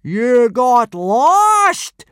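A man voicing a burly, furious Viking teacher who booms and roars his words out slowly. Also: lively intonation, no echo, clean studio sound. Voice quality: booming, roaring. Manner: slow, drawn-out shouting.

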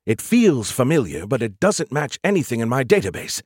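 A man voicing an excitable, arrogant artificial intelligence in an audiobook, flippant and quick to insult. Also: medium pitch, slightly rough, dry recording, studio quality. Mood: baffled, snarky.

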